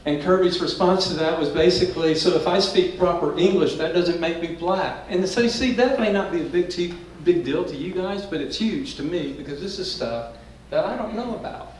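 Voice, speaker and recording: A man's voice: high-pitched, normal speed, slight background noise. An older man hosting an event, introducing the panel in a reflective, humble, good-humored way. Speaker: event host. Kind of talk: introductory talk to an audience. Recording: echoey room, distant mic.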